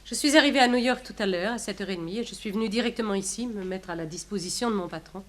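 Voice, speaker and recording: A woman's voice: medium pitch, quick pace, natural voice. Prim, proper secretary, acted by a woman. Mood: composed, factual. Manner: polite, careful, well-spoken. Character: prim, proper female secretary of a murdered businessman. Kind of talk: French radio detective drama acting.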